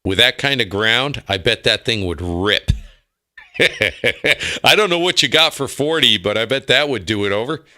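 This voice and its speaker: A man's voice: medium pitch, expressive, unhurried, sharp tone. An American man chatting casually on ham radio, enthusiastic and full of slang. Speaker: ham radio operator and streamer.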